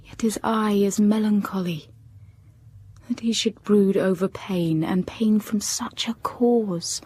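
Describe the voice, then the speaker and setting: Hushed, intense young schoolteacher. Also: female voice, medium pitch, fairly even intonation, takes it slowly, breathy edge. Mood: guarded, teasing. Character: guarded, lonely, intense young schoolteacher heroine, quietly yearning. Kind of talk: radio drama acting and first-person narration.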